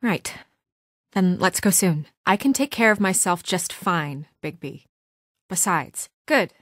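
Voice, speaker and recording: An actress playing a composed, dutiful and easily exasperated young woman in a video game, earnest and caring, with crisp, businesslike delivery. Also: on the low side, expressive, slow, a bit bright, dry recording, exaggerated voice. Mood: firm, guarded. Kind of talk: naturalistic video game voice acting. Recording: dry studio recording.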